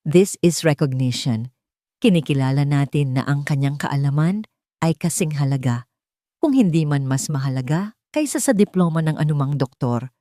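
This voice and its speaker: A woman's voice: low-pitched, animated, moderate pace, warm tone, no room sound, studio quality. Woman voicing an earnest, emphatic visionary businessman. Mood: insistent. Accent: Filipino.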